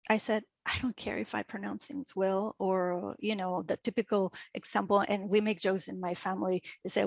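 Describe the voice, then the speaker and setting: Woman, Venezuelan accent, middle-aged, interview guest, animated storytelling, frequent restarts, dry close sound. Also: mid-range voice, no room sound. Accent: Venezuelan Spanish. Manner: animated, laughs often, many restarts.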